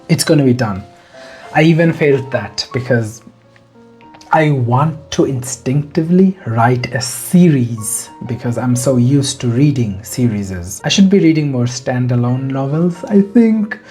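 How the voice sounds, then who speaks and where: A man's voice: medium pitch, slightly rough. A man in his twenties from Bangladesh, talking casually and rambling about writing, with self-deprecating asides. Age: 20s. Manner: rambling, self-deprecating, chatty with small laughs. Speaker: novelist vlogger. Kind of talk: casual talk to camera.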